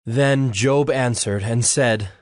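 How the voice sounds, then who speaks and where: Male narrator announcing bible chapters, slow, dry studio sound. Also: mid-range voice, no room sound. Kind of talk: scripted narration.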